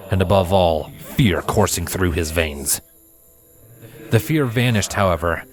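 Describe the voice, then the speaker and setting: Male story narrator, low pitch, scripted fiction reading, background music. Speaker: narrator, fan fiction reader.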